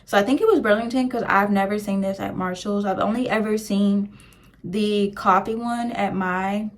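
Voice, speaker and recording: A woman's voice: mid-range voice, moderate pace. A young woman, chatting casually and gushing over products in a beauty review, full of "like" fillers. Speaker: beauty vlogger.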